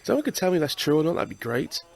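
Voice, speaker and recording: A man's voice: fairly high pitch, wildly swooping pitch, fast talker, vocal fry. A young British man with a cold and a blocked nose, casually commentating a video game playthrough, chatty and swearing now and then. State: congested with a cold. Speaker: gaming channel host. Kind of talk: casual let's-play commentary.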